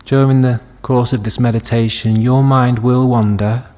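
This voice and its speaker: Guy doing a guided body scan, really mellow dark voice, barely moves in pitch, gentle and soothing. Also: steady tone. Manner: gentle, soothing, unhurried with long pauses. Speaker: meditation teacher.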